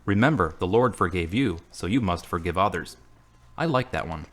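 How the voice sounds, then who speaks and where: Guy acting as earnest religious man in an audio drama, kinda low voice, really lively intonation, reflective. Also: moderate pace.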